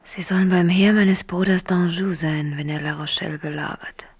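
An actress playing a proud young princess and queen in a radio play, with a breathy, whispery voice and an intense, formal manner. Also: fairly low pitch, fairly even intonation, moderate pace. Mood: urgent, secretive. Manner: intimate, formal, emotionally intense. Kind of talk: 1970s German radio play acting.

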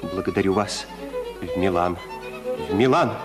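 An actor playing an ardent, impatient Polish violinist, earnest and courteous, in a 1950s Soviet radio drama. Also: male voice, deep voice, measured pace, music in the background. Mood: urgent, impatient. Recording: 1950s radio recording, long reverb.

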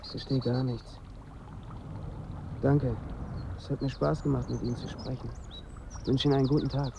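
An actor in a German radio drama playing a pleading drug addict in withdrawal, begging pushily but politely. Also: male voice, mid-range voice, level tone, moderate pace, creaky, noisy background, close to an everyday voice. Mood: resigned, polite.

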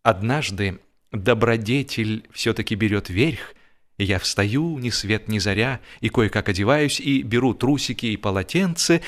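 A man narrating a humorous literary story in an expressive, theatrical way, with dramatic pauses and emphasis. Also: mid-range voice. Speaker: audiobook narrator.

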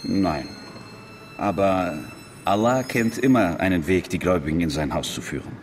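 An actor playing a calm, fatherly imam who speaks in a gentle, measured, consoling way in a radio drama. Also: deep voice, slight background noise, natural voice.

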